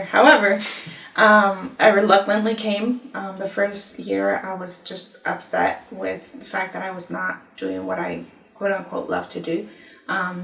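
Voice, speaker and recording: A woman's voice: lowish voice, vocal fry, fairly bright tone. Woman telling her story in an echoey room. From Ecuador. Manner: thoughtful, many "um" pauses, storytelling. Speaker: university discipline office director. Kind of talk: conversational testimony interview. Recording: echoey room, mic at a distance.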